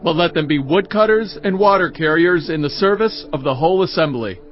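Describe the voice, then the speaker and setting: An actor playing a firm, authoritative assembly leader in a Bible audio drama. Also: male voice, a little high, expressive, brisk, muffled recording, soft background music, close to an everyday voice. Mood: firm resolve.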